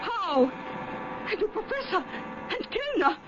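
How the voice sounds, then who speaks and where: A woman playing a cold, suspicious guard in a radio drama, sharp and commanding. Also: extremely high pitch, big pitch swings, a little slow, music in the background, heavily acted. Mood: alarmed. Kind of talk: 1970s radio drama acting. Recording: dull, lo-fi old radio broadcast.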